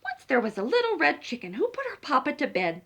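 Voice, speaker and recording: A woman reads picture-book stories aloud for young children. Her voice is high and very expressive in pitch. She speaks directly to her little listeners as a story time host. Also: fairly quick, vocal fry. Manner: animated, addressing small children directly.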